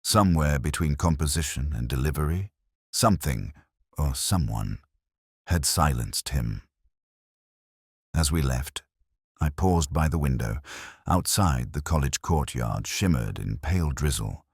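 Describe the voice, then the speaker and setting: A man plays a brooding middle-aged detective who muses aloud in an extremely deep voice that still rises and falls with feeling. His delivery is measured and literary, like a storybook mystery read in the first person. The sound is dry and close, with no room around it. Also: animated, breathy edge, no room sound, exaggerated voice. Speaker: narrator. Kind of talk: scripted first-person detective narration, audio drama.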